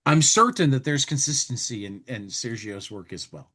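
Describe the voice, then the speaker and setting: A man's voice: mid-range voice, lively intonation, pushed and bright. A man co-hosting a casual talk show, commenting and reading aloud, often repeating words as he works out a thought. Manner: halting, repeats words while working out a thought. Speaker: podcast co-host.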